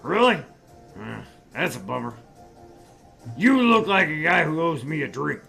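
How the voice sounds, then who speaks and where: A man's voice: on the high side, sing-song, measured pace, vocal fry, bright, forceful tone, faint music behind, full character voice. A man voicing a brash, boastful older businessman in a visual novel, with a blustery, sardonic and condescending way of talking. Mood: sardonic, ranting. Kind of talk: visual novel read-aloud.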